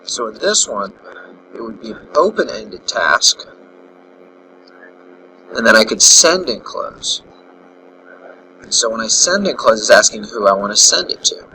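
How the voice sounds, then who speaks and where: A man with a bright, forward voice walks through an on-screen procedure in a casual teaching style. He talks through each step as he goes, thinking aloud. There is faint noise behind him. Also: lowish voice, sing-song, a little slow, pauses often, rough recording. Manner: instructional, thinking aloud while demonstrating. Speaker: instructor, software trainer.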